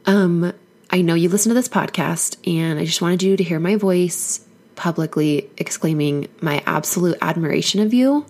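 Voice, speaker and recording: A woman hoarse from a cold, giving an earnest, encouraging solo podcast talk as a life coach. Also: lowish voice, normal speed, a little mellow.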